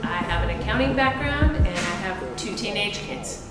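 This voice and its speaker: A woman in her fifties, a gym member answering interview questions slowly, with many pauses and fillers. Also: mid-range voice, animated. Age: 50s. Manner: unhurried, hesitant with many fillers. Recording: echoey hall with music behind.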